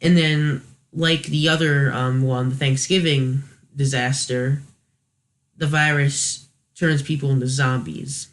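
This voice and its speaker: A man's voice: medium pitch, fairly even intonation, slow, a bit bright. A man hosting a review video with casual, unscripted commentary, hesitant and thinking aloud as he corrects himself. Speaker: host of a video review series.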